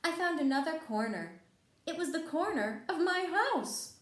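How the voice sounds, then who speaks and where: A woman voicing a friendly, gentle male frog telling a children's storybook tale in an expressive, reassuring way. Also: extremely high pitch, measured pace, voice pushed up. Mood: bright, happy. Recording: echoey room.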